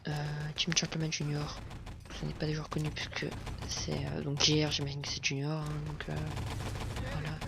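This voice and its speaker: A man comments on a shooter match in a casual voice-over, hesitating often and filling his pauses with "euh". His home recording is noisy, with clear gunfire and game sounds underneath his voice. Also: on the high side, monotone. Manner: hesitant, full of "euh" fillers and restarts. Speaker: gameplay commentator. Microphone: home voice recording, self-described as not the best setup.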